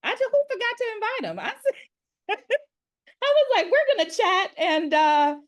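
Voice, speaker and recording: A woman hosting a casual interview as an acting coach, talking in an effusive, animated storytelling way. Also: extremely high pitch, moderate pace.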